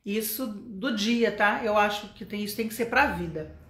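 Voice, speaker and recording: A woman's voice: medium pitch, moderate pace, a bit bright, reverberant. A Brazilian woman teaching English in a live stream, chatty and encouraging, often checking in with her viewers. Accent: Brazilian Portuguese.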